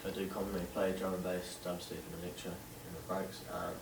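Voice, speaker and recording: A young man in his late teens with a New Zealand accent, answering interview questions haltingly with many fillers. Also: low-pitched, level tone, gravelly, noisy background. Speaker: interviewee, young DJ and event organiser. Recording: distant roomy camera sound with faint ambience.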